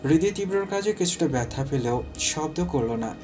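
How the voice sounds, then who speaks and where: A man's voice: on the high side, expressive, normal speed, over music. A man narrating a scripted romantic story as its storyteller.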